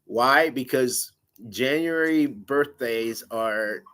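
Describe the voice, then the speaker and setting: Guy with a big loud voice telling a story about his ex, animated, laughs a lot. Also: medium pitch, drawn-out words. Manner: animated, laughing, quotes himself in raised voice. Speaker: dating show guest. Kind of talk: casual storytelling chat.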